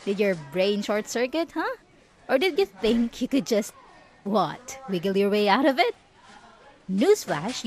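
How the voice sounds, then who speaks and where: A woman acting out a possessive, jealous schoolgirl bully in a roleplay audio drama, taunting and sarcastic with sugary false politeness. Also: a little high.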